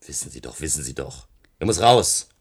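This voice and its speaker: Brusque security man, radio drama, very low husky voice, impatient. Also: very animated, moderate pace, heavily acted. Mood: brusque, demanding. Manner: curt, barking, exasperated. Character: gruff, impatient male security officer. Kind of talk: German radio play acting.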